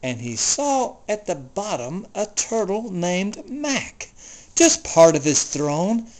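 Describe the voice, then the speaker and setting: Someone reading a rhyming kids story out loud, really dramatic n expressive, pitch all over the place. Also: takes it slowly. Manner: theatrical, expressive.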